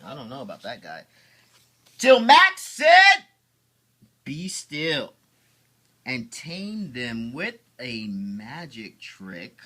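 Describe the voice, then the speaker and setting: A man reading a children's story aloud as a father, with theatrical, drawn-out emphasis and chatty asides. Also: mid-range voice, wildly swooping pitch, pushed and bright.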